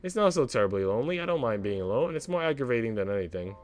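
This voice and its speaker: A man's voice: mid-range voice, big pitch swings, rapid-fire, faint music behind, close to an everyday voice. A man voicing a worldly parlour maid, a wry and unruffled woman, in a visual novel. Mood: resigned, wry. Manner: dry, unruffled, sardonic.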